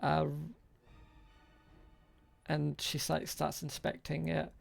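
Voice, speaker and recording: A man narrating an improvised tabletop game as game master, halting and pausing between short phrases. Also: on the high side, completely flat delivery, creaky, faint music behind.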